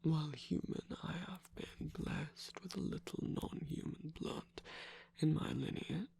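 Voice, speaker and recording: A performer of unclear sex voicing a seductive villain lover in an intimate roleplay ASMR, teasing and protective, with a husky, breathy, often whispered voice. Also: medium pitch, steady tone, unhurried, dark tone, slight background noise. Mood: playfully teasing.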